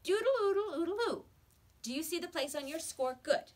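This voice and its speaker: Storybook music mistress, voiced by a woman: exacting, animated, sing-song, pitched high. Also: unhurried. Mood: bright, instructive. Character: exacting, animated female school orchestra teacher in a storybook.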